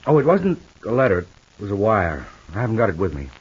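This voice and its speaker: A dull old radio recording holds a devoted, stubborn husband in a soap opera. His voice is fairly low and rough, with lively rises and falls. He is affectionate and offhand, yet firm about looking after his wife. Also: fast talker. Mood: evasive, offhand. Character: protective, stubbornly loving husband, a famous actor.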